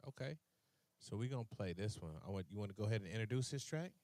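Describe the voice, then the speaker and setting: A man's voice: fairly low pitch, animated, fast, darkish tone, no room sound. A man hosting a casual music interview, informal and slangy, often repeating his questions and phrases. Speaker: interview host.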